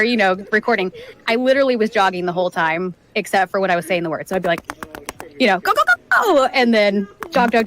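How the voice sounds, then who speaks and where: A woman with a medium-pitched voice speaks as a voice actor guest in an interview. She is casual and tells her stories in an animated, conversational way.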